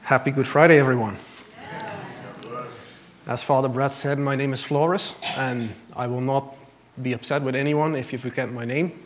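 A man's voice: mid-range voice, steady tone, moderate pace, long pauses, heavy background noise. A man in his thirties from Belgium, telling his personal faith story from a church stage in an earnest, lightly self-deprecating way, speaking into a lapel mic. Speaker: church member giving testimony. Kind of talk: personal testimony from a pulpit.

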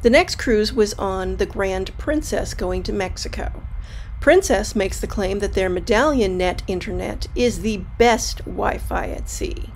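A woman's voice: medium pitch, a little slow, slight background noise. A woman travel vlogger narrating a scripted voice-over review in a wry, matter-of-fact way.

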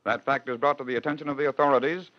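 A man's voice: medium pitch, steady tone, very fast. A man hosting and narrating in scripted 1940s radio style, with a rhetorical storytelling manner. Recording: dull old radio broadcast.

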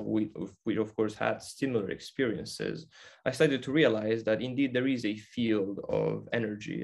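Gravelly-voiced Italian man. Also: lowish voice. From Italy. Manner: earnest, searching, with frequent restarts and gestures. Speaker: festival presenter. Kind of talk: talk to camera. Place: attic room at home, indoors.

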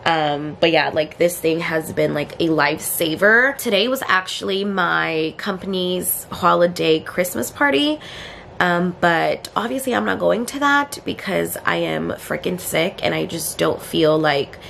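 A young woman with a cold chats to a handheld camera at home, stuffed up and worn out. Her low voice is gravelly with vocal fry, and she rambles along through lots of fillers. A faint hiss of room noise sits underneath. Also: measured pace, a bit bright. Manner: tired, low-energy, rambling with many fillers. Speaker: vlogger. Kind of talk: casual vlog chat to camera. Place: bedroom and then a kitchen at home, indoors. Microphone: handheld camera at arm's length.

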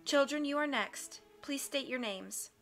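A woman's voice: high-pitched, measured pace, a bit bright, soft background music, pitched above the performer's own voice. A woman reading a stern female judge who presides over a trial, speaking formally and firmly.

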